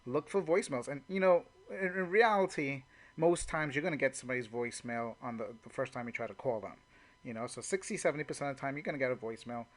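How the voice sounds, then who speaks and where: A man's voice: medium pitch, fast. A man working as a network marketing trainer, talking informally and off the cuff as he walks through call examples, often saying "you know". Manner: conversational, unscripted, frequent "you know".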